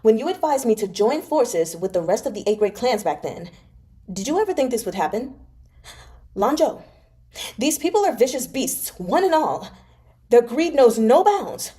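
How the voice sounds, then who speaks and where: A woman's voice: on the high side, very animated, moderate pace, dark tone. A woman voicing a seething, cornered clan man in a dramatic novel read-aloud, ranting bitterly with exasperated, emphatic outbursts. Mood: bitter, alarmed.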